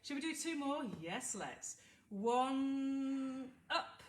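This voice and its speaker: A woman's voice: high-pitched, lively intonation, very slow, far from the mic. A British woman Pilates instructor, congested from hay fever, counting reps and cueing movements while demonstrating. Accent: British English. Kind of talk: guided exercise class instruction. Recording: echoey room, voice from afar, faint hiss.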